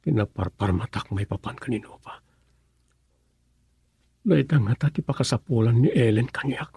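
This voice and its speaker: A man's voice: mid-range voice, moderate pace, creaky, warm tone, natural voice. An actor playing a worried, earnest young man in a radio drama, with a questioning, concerned manner.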